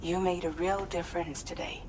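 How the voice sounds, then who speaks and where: An actress playing a composed mission analyst briefing someone in a video game cutscene, businesslike and precise. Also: female voice, lowish voice, steady tone, moderate pace, noisy background, natural voice.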